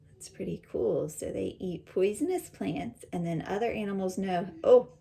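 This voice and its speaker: A woman teacher reading a children's picture book aloud and pausing to explain it in simple words. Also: medium pitch, vocal fry, warm tone.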